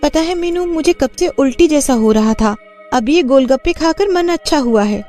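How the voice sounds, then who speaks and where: A chatty young traveller acted by a woman in a cartoon tale. Her voice is very high yet mellow, with an inquisitive manner. Music plays faintly behind. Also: lively intonation, normal speed.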